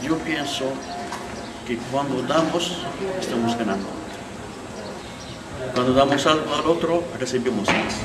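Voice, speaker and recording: Non-native Moroccan man, halting interview answers, distant mic, boomy room, heavy crowd noise. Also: roomy sound. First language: not Spanish. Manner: halting, searching for words, many fillers. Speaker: faculty official interviewed.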